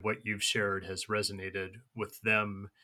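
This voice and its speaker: A man hosting a podcast, speaking earnestly and steering the conversation gently. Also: on the low side, little pitch movement, measured pace. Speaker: podcast host.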